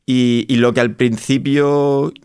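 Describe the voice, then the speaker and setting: A man's voice: mid-range voice, steady tone, slow, darkish tone, clear, resonant tone, no echo, studio quality. A man with an accent from Spain, telling his own story reflectively as an interview guest, often rephrasing himself. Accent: Spanish from Spain. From Spain (Madrid). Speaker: interview guest, startup co-founder. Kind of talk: conversational personal storytelling in an interview.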